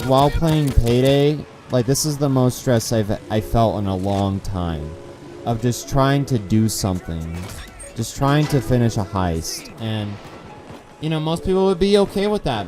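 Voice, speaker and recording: A man's voice: mid-range voice, mellow. A young man giving a casual, rambling game review, restarting sentences often and swearing, his throat dry so his voice cracks. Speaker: gaming video creator.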